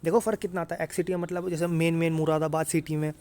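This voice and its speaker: A man's voice: fairly high pitch, little pitch movement, quick pace, darkish tone. A man with a North Indian accent giving an informal explainer to students, conversational, repeating points and often checking that listeners follow. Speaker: exam-prep YouTuber explaining job salaries.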